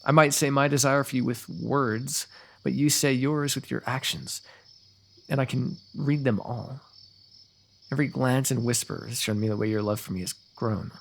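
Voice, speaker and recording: Man playing a husky, gravelly lovestruck fantasy royal. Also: mid-range voice, fairly even intonation, normal speed. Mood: tender, earnest. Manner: intimate, poetic, talkative, softly amused. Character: lovestruck, eloquent fantasy royal, tenderly teasing his sweetheart. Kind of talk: romantic ASMR fantasy roleplay.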